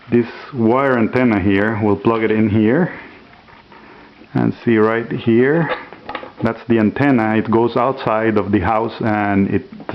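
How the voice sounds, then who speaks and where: A man casually demonstrating a homemade radio at home, relaxed and hesitant, with many fillers. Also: on the low side, highs cut off. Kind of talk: casual show-and-tell demo.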